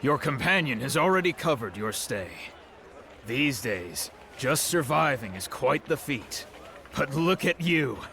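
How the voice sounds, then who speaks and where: A chatty innkeeper talks in a bright, forward tone at a mid pitch. He is polite, nosy and eager to serve, with faint town ambience behind him. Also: very animated, measured pace, a little hiss or hum, full character voice. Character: friendly, chatty male innkeeper. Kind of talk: game cutscene voice acting.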